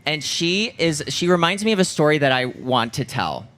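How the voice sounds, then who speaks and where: A man's voice: a little high, lively intonation, normal speed, fairly bright tone. A man in his thirties with an American accent, telling stories casually as co-host of a live podcast, often saying "like". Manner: conversational, peppered with "like". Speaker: podcast co-host and former competitive figure skater. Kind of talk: casual chat and storytelling at a live show. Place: live podcast show before an audience. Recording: noisy live-show audio.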